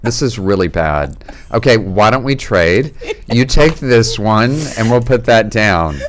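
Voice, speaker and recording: Deep-voiced priest chatting about food. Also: male voice, animated, unhurried, a little hiss or hum. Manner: opinionated, explains food with relish. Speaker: priest and podcast host. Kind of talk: casual chat while tasting food.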